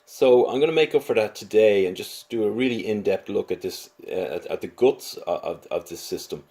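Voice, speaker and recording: Man, lower-pitched voice, casual unscripted review, halting delivery, repeats words as he explains. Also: a little mellow. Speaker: product reviewer.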